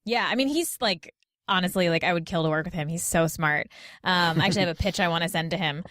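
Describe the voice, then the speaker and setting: A woman's voice: fairly low pitch, quick pace, a bit bright, no room sound. Casual, chatty woman, dry close sound. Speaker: podcast host as guest. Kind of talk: casual panel chat.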